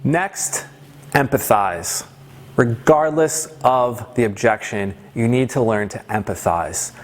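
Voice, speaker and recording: A man's voice: mid-range voice, slow. A man giving an instructional talk as a sales trainer, conversational and emphatic, often checking in with the listener. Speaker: sales trainer presenting a course. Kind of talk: instructional presentation with role-played example lines.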